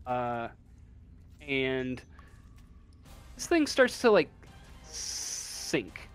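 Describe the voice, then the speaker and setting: Halting, slow-paced man running a game. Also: medium pitch, big pitch swings, smooth, faint music behind. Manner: halting, hesitant, casual delivery. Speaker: game master. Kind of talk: improvised narration of a tabletop game.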